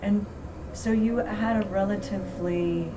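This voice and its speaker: A woman host asking gentle, slightly hesitant interview questions. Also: mid-range voice, steady tone, a little slow, distant mic, noisy background.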